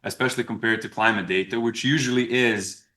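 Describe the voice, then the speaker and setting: Halting young man, lowish voice. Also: steady tone, sharp tone. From Serbia. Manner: halting, with frequent restarts and repeated words. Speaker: research presenter. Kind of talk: conference presentation.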